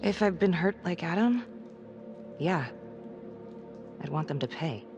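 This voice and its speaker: A guarded female homicide detective in a crime game. Her voice is slightly low, terse and wry, with clear music underneath. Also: expressive, unhurried, lo-fi sound. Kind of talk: cinematic crime game voice acting.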